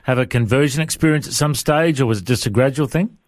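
A man's voice: mid-range voice, fast talker, dry recording, clean studio sound. An Australian man hosting a podcast, asking easygoing, friendly questions in a casual interview. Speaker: podcast host.

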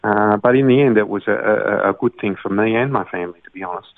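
Australian man, lowish gravelly voice, halting interview guest full of fillers, dry phone-line sound. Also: no echo. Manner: hesitant, many uh fillers. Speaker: guest, doctor and songwriter. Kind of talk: casual interview.

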